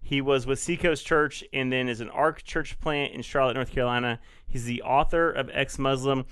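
A man's voice: medium pitch, fairly even intonation, moderate pace, a bit bright, smooth, dry recording, clean studio sound. A male podcast co-host with a mid-range voice. He chats casually, upbeat and jokey as he introduces a guest.

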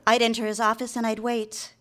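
Actress as demure young secretary, quietly obsessed. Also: female voice, a little high, monotone, brisk, natural voice. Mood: dutiful, wistful. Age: young adult. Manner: polite, confessional, period-styled diction. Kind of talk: live radio drama with first-person narration.

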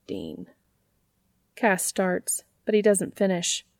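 A woman's voice: fairly low pitch, fairly even intonation, a little slow, creaky, a little mellow, dry recording. A woman narrating a piece of written fiction in the style of an audiobook.

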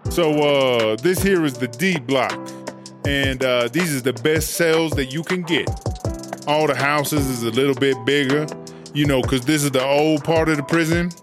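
A vain, swaggering prison landlady, played by a man in a comedic audio drama. The voice sits fairly high for a male, with sassy, slangy, bossy delivery over music. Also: a little slow, natural voice. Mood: chatty, boastful. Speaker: interviewed inmate character.

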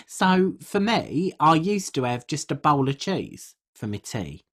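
A British woman playing an uncouth, food-obsessed podcast co-host, husky and rambling in colloquial, deadpan comic chat. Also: low voice, slow, heavily acted. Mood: earnest, matter-of-fact. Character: uncouth, food-obsessed woman telling absurd tales earnestly.

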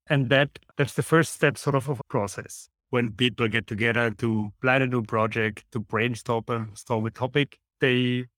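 A man's voice: mid-range voice, a bit bright, no room sound. Austrian-accented man, dry close recording. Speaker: interview guest, company founder and CEO. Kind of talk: conversational interview.

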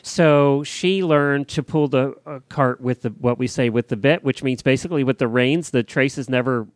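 A man's voice: medium pitch, moderate pace, dry recording. A man with an American accent co-hosting a podcast, casually chatting and telling stories. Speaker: podcast co-host.

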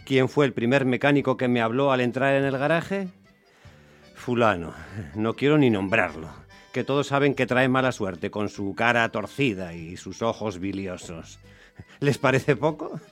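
An actor playing a superstitious, aggrieved taxi driver who tells his story as an animated, complaining radio monologue. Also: mid-range voice, moderate pace, soft background music, lightly acted. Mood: grumbling, then indignant. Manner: animated complaining, rhetorical questions to the listener. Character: aggrieved, superstitious male Roman taxi driver telling his bad day. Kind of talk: dramatic monologue in radio theatre.

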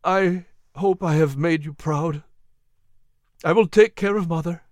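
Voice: medium pitch, measured pace, dry recording, clean studio sound, voice pushed up, natural voice. A narrator voicing a grieving grown son, speaking in an earnest, tearful way.

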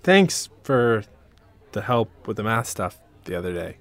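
A shy, friendly teenage boy in an audio drama. He talks slowly and tentatively, his halting phrases swinging up and down in pitch. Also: big pitch swings, fairly bright tone, faint music behind, heavily acted.

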